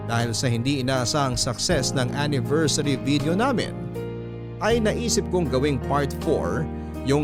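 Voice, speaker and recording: An actor playing a young male vlogger, narrating his story in a measured Filipino radio drama style. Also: mid-range voice, lightly acted.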